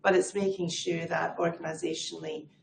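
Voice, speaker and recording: A middle-aged woman speaks with a Scottish accent and a low voice with a gravelly edge. She answers in a chatty, fluent way and pokes fun at herself. Her voice rings around an echoey room, with faint background noise. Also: flat delivery, brisk. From Scotland. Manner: self-deprecating, chatty and fluent. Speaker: guest, head of people advisory. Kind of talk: conversational interview answers.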